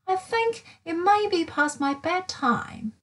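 A woman's voice: extremely high pitch, lively intonation, slow, fairly bright tone, voice pushed up, heavily acted. A woman voicing a homesick young male meerkat writing home in a children's storybook, plaintive and earnest. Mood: uneasy. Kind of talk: children's storybook read-aloud.

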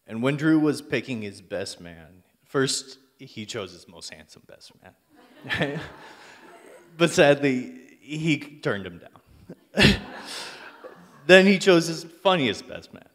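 A man's voice: medium pitch, big pitch swings, slow pace, pauses often. A young man giving a best man toast with dry, self-deprecating humor. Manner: dry self-deprecating humor, laughs at his own jokes. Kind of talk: wedding toast speech.

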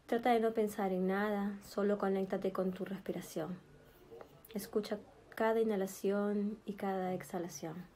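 A woman leading a guided meditation in a soothing, gentle manner, speaking in short, spaced phrases. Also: medium pitch, steady tone, normal speed. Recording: background music with flute. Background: meditation music with flute.

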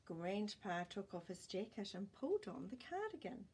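An older woman reading a picture book aloud expressively, pausing to put questions to her listeners. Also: medium pitch, big pitch swings, a little mellow, a little hiss or hum. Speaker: children's storytime reader. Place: small indoor room with bookshelves.

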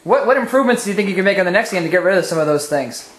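A man's voice: high-pitched, expressive, racing, fairly bright tone. A man playing a reporter who eagerly and pushily calls out for the coach's attention. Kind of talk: comic press conference skit. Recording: echoey room.

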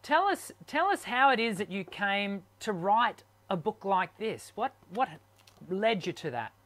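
A man's voice: high voice, sing-song, unhurried, fairly bright tone. A man hosting a live online interview from his home studio, talking eagerly with plenty of ums and restarts. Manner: enthusiastic, chatty with many fillers. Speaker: host. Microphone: webcam on video call.

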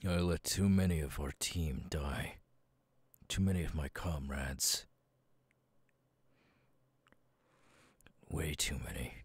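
A man voicing a stern, weary, guarded military commander in an ASMR audio roleplay, husky and brooding. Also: very deep voice, exaggerated voice. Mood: bitter resolve. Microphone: close, dry microphone.

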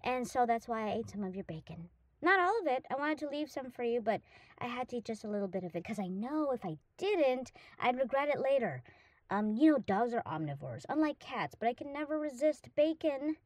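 A woman's voice: on the high side, sing-song, brisk, dry recording. A woman playing a hyperactive, affectionate young dog-girl roommate in a roleplay audio drama, gushing and rambling eagerly to the listener. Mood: playful, confessing.

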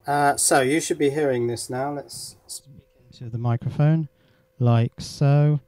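A man's voice: medium pitch, steady tone, unhurried, darkish tone. A man giving a casual hands-on electronics demonstration, thinking aloud as he works. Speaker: electronics hobbyist presenter. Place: indoor workshop.

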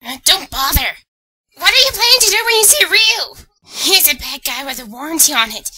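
A woman voicing a small, excitable, indignant schoolboy who whines and protests in an anime fan dub. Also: sits high, moderate pace, full character voice. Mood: exasperated, alarmed. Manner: whiny, protesting.

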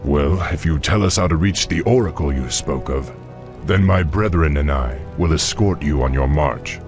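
An actor playing a young, noble orc war chief in a fantasy game, with a gravelly voice and a firm, formal manner. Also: male voice, rumbling low, moderate pace, music in the background. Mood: thoughtful, respectful. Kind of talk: fantasy video game acting.

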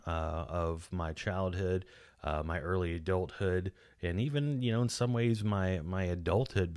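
Forties Texan male host, low voice, chatty rambler, dry close-mic home studio. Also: dry recording. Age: 40s. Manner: rambling, chatty, frequent restarts and fillers. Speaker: podcast host. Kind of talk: casual solo video commentary. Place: small home studio room. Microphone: boom-mounted studio mic close up.

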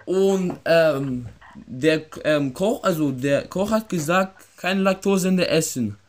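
Higher-pitched young man, faint noise. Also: takes it slowly. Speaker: prank caller, video host. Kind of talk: prank phone call with asides to viewers. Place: indoor room with wooden floor.

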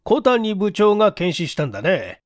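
An authoritative police chief acted by a male reader interrogates in a mystery story. The speech is commanding and old-fashioned, high and very animated, recorded dry. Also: moderate pace, dry recording. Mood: probing, stern. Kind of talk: dramatic audiobook character acting.

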